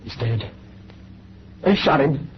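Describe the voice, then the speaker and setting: A man's voice: mid-range voice, animated, slow pace. A man playing an anguished soldier sickened by killing, emotional and protesting, in old-time radio drama style. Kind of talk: 1930s radio acting. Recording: noisy, muffled vintage radio recording.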